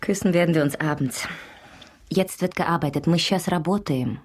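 Low, husky, self-possessed woman. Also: moderate pace. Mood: teasing, brisk. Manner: terse, teasing, matter-of-fact. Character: cool, mocking, self-possessed female lover. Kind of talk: radio drama dialogue.

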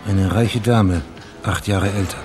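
An actor playing a persistent, businesslike police detective in a German radio crime drama, putting clipped, probing questions. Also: male voice, deep voice, fairly even intonation, measured pace, a little mellow, soft background music.

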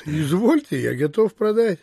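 A man's voice: high voice, big pitch swings, normal speed, creaky, dark tone, slightly rough, dry recording, clean studio sound, exaggerated voice. A man playing a blunt, bearish, unperturbed provincial landowner who drives a hard bargain in an audio drama. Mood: matter-of-fact.

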